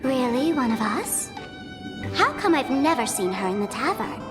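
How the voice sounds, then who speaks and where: A woman's voice: sits high, big pitch swings, moderate pace. A woman voicing a playful, scheming young trickster in a video game, with a teasing, sing-song, theatrical delivery. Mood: coy, inquisitive. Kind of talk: English video game voice acting. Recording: music playing behind the voice.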